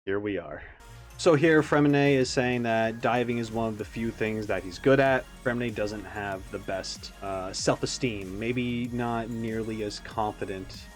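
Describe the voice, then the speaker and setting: A man's voice: lowish voice, unhurried, faint music behind. A man giving casual, reflective reaction commentary, rambling as he thinks aloud with self-aware asides. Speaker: reaction video creator. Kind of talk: casual, reflective commentary on a game character video.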